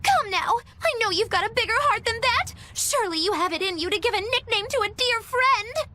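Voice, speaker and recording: A woman's voice: extremely high pitch, expressive, fairly quick, pushed and bright, heavily acted. A woman playing a sly, theatrical young witch in an anime game dub, speaking in an arch, wordy, teasing way. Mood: exasperated pleading.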